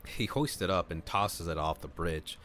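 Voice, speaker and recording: A man on a livestream reading a visual novel aloud, mixing scripted narration with casual asides where he thinks out loud. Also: low voice, lively intonation, normal speed, a little hiss or hum. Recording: music playing behind the voice. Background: clear background music.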